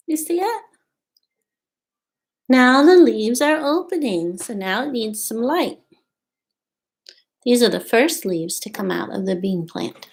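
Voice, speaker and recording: Slow, lilting woman reading to young children. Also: fairly high pitch. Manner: simple, expressive explaining aimed at young children. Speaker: storytime host at a community farm. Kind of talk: children's read-aloud and show-and-tell. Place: small room at home, indoors.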